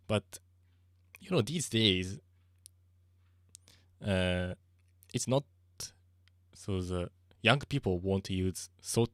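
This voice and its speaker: A man with a Japanese accent, chatting casually on a livestream, halting and searching for words with frequent soft laughs. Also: deep voice, no room sound, clean studio sound. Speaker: streamer.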